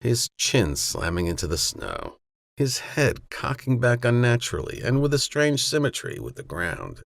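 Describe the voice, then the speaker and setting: A man narrating a western novella as an audiobook, in a measured, grave storytelling manner. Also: medium pitch, huge pitch swings, vocal fry, dry recording.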